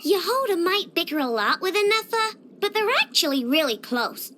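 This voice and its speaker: Game role: child genius inventor, very high, bright voice, boastful and bubbly. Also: female voice, big pitch swings, normal speed, slight background noise, full character voice. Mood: cheerful, proud. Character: cheerful young girl genius inventor, proud and childlike. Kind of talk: scripted video game character voice lines.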